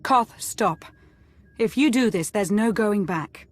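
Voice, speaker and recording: An actress playing a composed, shrewd military adviser in a video game, firm and controlled. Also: female voice, medium pitch, lively intonation, takes it slowly, faint music behind. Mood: serious.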